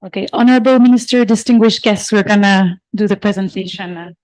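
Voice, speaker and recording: A woman's voice: medium pitch, brisk, darkish tone, no room sound, poor audio. Woman moderator making formal announcements. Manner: formal, courteous. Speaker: event moderator.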